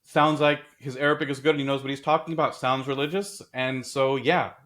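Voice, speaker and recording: Animated man in casual talk. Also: medium pitch, brisk. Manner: animated, storytelling with acted quotes. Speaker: podcast host. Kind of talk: casual discussion.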